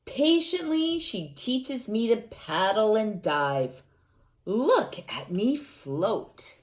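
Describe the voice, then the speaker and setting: An eager young river otter pup, read aloud by a woman, proudly tells of its first swim. The voice rises and falls with lively animation. The pace stays slow and gentle. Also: a little high, voice pushed up, heavily acted.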